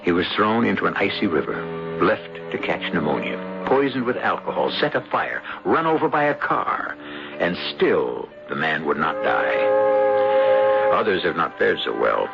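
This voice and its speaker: Male mystery host: low, gravelly, animated, theatrical scripted narration, muffled old radio sound. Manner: theatrical, rhetorical, deliberate pauses. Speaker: radio drama series host. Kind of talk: scripted dramatic narration to listeners. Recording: dull lo-fi radio recording. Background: music.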